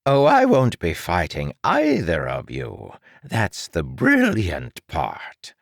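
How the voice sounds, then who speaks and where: A smug, mocking mad-scientist villain from a superhero audiobook, played by a male narrator. He stretches his words with theatrical condescension, swinging widely in pitch over a creaky low register. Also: huge pitch swings, no echo, clean studio sound, full character voice. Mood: gloating. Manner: theatrical, condescending, drawn-out delivery.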